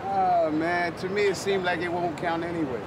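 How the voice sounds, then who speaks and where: Dude on the street, high voice, cocky slang, tons of crowd noise. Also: male voice, steady tone, normal speed. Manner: brash, taunting street slang. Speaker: passerby. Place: busy city street outdoors.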